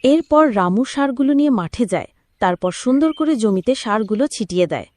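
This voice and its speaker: A woman's voice: on the high side, expressive, brisk, darkish tone. A woman narrating a cartoon folk story in a scripted storybook style.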